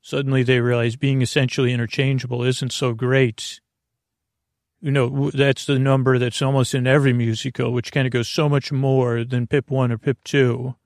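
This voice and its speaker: A man hosting a sleep podcast, rambling through tangents in a low-key, unhurried way and breaking off his own sentences. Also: little pitch movement, dry recording, studio quality. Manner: meandering, self-interrupting, tangents. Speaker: podcast host. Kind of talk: rambling bedtime monologue.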